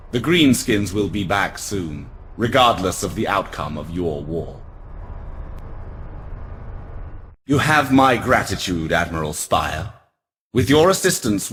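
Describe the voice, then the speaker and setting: An actor playing a proud, aloof alien elf prince in a video game, speaking in a measured, formal and haughty way. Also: male voice, lowish voice. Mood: cool, grateful. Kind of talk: video game cutscene acting. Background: music.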